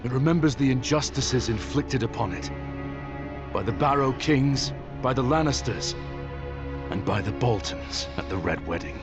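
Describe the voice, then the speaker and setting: A male actor playing a solemn, steadfast young heir of a noble house, speaking in a measured, formal way in a fantasy game. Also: medium pitch, expressive, dull audio, music in the background, close to an everyday voice.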